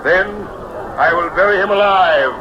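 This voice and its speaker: A man's voice: high voice, normal speed, background noise, lightly acted. An actor playing a pushy carnival showman hypnotist with theatrical, declamatory patter, in the style of mid-century radio drama. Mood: grand showmanship. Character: showman hypnotist professor, pushy, smooth-talking carnival barker. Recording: dull vintage radio recording, music behind.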